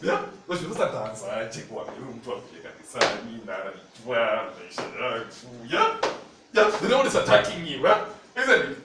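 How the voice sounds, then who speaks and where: Lively Kenyan preacher in echoey hall. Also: male voice, medium pitch, a little fast. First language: Luhya. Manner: animated storytelling, laughing often. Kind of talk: sermon. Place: church hall. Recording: very echoey hall, from far off, noisy.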